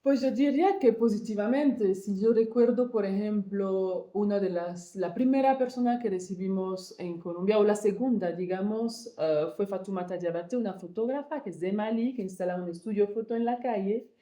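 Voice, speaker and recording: A woman from Congo, co-founder and director of an arts project, answering interview questions thoughtfully and pausing to search for words. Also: medium pitch, dark tone, roomy sound. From Democratic Republic of the Congo.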